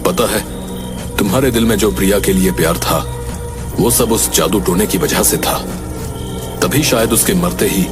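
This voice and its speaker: A man's voice: deep voice, moderate pace, pauses often, music in the background, natural voice. An actor playing a grave young man in a cartoon story, speaking in a serious, dramatic, explanatory way. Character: grave young man warning his friend about witchcraft. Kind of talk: Hindi cartoon story dubbing.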